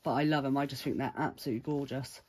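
A woman's voice: a bass voice, fairly even intonation, quick pace, a little mellow. A woman with a stuffy, bunged-up voice from a cold, chattily showing off her handmade crafts and listing the price of each. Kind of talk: casual show-and-tell vlog. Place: indoors at a craft table.

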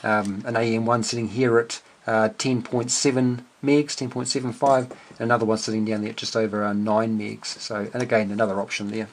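A man's voice: fairly low pitch, flat delivery, brisk, a little hiss or hum. A middle-aged man explaining a hobby project casually at his desk, unscripted, hesitant and understated as he thinks aloud. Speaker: amateur radio hobbyist and video host. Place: small indoor room at a cluttered desk workbench.

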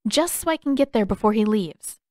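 A woman's voice: on the high side, animated, a little fast, a little mellow, no room sound, clean studio sound, close to an everyday voice. A woman voicing a determined, guarded, stubborn teenage girl in an audiobook story. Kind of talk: character dialogue in audiobook narration.

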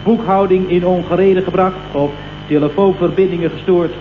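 A man's voice: high-pitched, steady tone, normal speed, a little hiss or hum, natural voice. An actor playing an eager, deferential male subordinate, earnest and apologetic, in old radio comedy style. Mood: apologetic, explaining. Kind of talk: 1970s Dutch radio comedy acting. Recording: tinny, echoey old radio recording.

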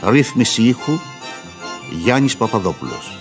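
A man's voice: medium pitch, sing-song. A man announcing the title and credits of a radio play in a scripted, formal read. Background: loud violin music.

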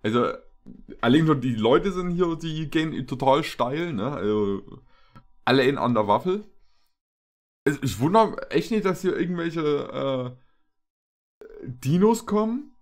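Animated young man rambling casually. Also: a little high. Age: young adult. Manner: halting, repetitive, jokey. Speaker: reaction video host. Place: small indoor room with shelves.

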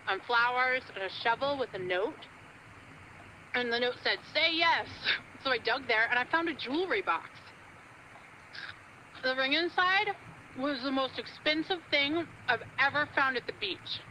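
High, bright, breezy female beachcomber caller. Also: a little hiss or hum, exaggerated voice, poor audio. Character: smug, easygoing female beachcomber calling a radio show. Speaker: radio caller. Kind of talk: radio drama acting in a video game.

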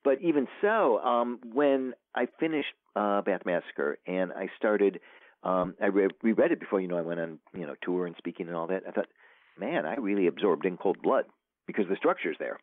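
A very deep-voiced man speaks over a thin, phone-like line with a dry, close sound. He is an author chatting as a guest, wandering through his thoughts with lots of fillers and false starts. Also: lively intonation, normal speed, dry recording. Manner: rambling, many fillers and restarts. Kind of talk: casual interview talk.

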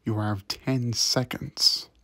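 A man voicing a stern older demon boss and father in an audio roleplay, deliberate and authoritative. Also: mid-range voice, fairly even intonation, pushed and bright, no echo, heavily acted. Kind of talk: ASMR audio roleplay acting.

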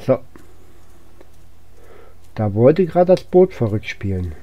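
A man's voice: medium pitch, big pitch swings, takes it slowly, dull audio. A man giving casual let's play commentary as host, musing aloud to himself as he goes. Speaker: gaming channel host.

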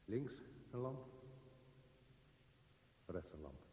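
Scared guy alone in a tunnel, halting radio drama monologue, mellow voice, very echoey. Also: lowish voice, sing-song, full character voice. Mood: brooding, uneasy. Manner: halting, fragmented, intense interior monologue. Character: frightened man lost in a dark railway tunnel, brooding. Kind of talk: radio play monologue acting. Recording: echoey reverberant tunnel sound, faint hiss.

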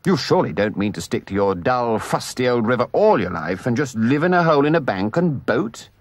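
A man voicing a boastful, excitable toad gentleman from a storybook, with a theatrical, effusive and pompous manner. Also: mid-range voice, normal speed, no echo. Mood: imploring. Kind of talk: storybook character voice.

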